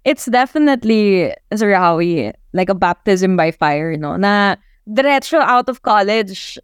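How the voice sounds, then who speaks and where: A woman's voice: medium pitch, animated, measured pace, clear, resonant tone, no room sound, clean studio sound. A woman in her twenties with a Filipino accent, answering interview questions as a journalist in a reflective, conversational way, sometimes mixing Tagalog into English. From Philippines. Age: 20s.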